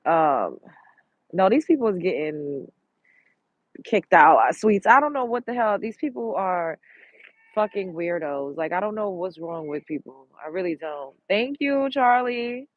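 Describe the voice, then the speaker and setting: A congested woman hosts a live stream from a street, talking bluntly and swearing freely as she answers viewers. Her voice comes through a phone with a dull, dry sound. The talk is chatty and quick to vent. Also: on the low side, big pitch swings, no room sound. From Brooklyn, New York. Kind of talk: casual live-stream chat, reading viewer comments. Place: outdoors on a street.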